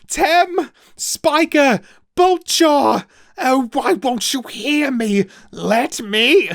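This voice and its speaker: A man voicing a frightened young boy who cries out and whimpers in a high voice. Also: expressive, very slow, creaky, fairly bright tone, no echo, studio quality, exaggerated voice. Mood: desperate.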